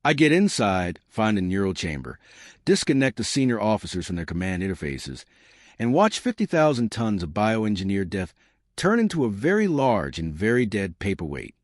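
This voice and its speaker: A man's voice: fairly low pitch, very animated, moderate pace, no echo, clean studio sound. A man voicing a small, fierce woman space captain in an audio story, wry, teasing and confident. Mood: cocky, determined.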